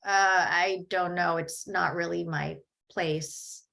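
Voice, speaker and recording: A woman's voice: lowish voice, fairly even intonation, slow, creaky, bright, forceful tone, heavily acted. Woman doing a hesitant neighbor lady.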